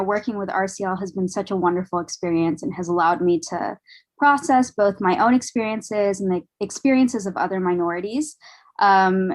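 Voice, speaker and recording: A woman in her twenties speaking as a guest on a podcast roundtable, earnest and measured, with frequent "um"s. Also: medium pitch. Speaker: guest, doctoral student.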